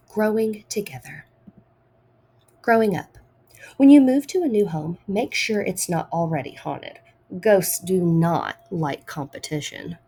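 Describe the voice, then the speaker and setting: A woman reading a picture book aloud to children in a deliberate, expressive storytime style. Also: on the low side, breathy edge.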